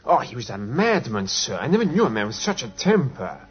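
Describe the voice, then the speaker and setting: An obliging, excitable factory owner in an old radio play. He is deferential and emphatic, with a husky, swooping voice on a dull recording. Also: mid-range voice, fairly quick. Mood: indignant, excitable. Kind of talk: 1950s radio drama acting.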